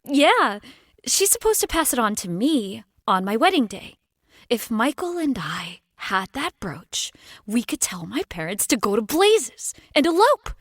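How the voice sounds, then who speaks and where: An actress playing a flustered, defiant young society daughter in a 1920s period audio drama. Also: female voice, fairly high pitch, sing-song, unhurried, dry recording, clean studio sound. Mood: conspiratorial, resentful. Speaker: actor.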